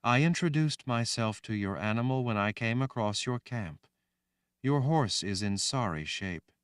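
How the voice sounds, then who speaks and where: A gentle motherly woman spirit speaks in a soft, soothing voice, read by a male audiobook narrator. The delivery is slow and calm. It sounds close to the mic in a dry space. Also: medium pitch, smooth, no room sound, voice pushed up, natural voice. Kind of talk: audiobook reading.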